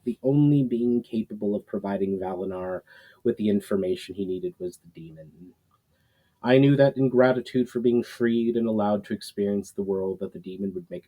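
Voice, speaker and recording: A man reads the part of an ancient guardian in a fantasy tale, a grave and forgiving old mentor. The voice is low and mellow, with solemn, deliberate, formal phrasing. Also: steady tone, close to an everyday voice, rough recording.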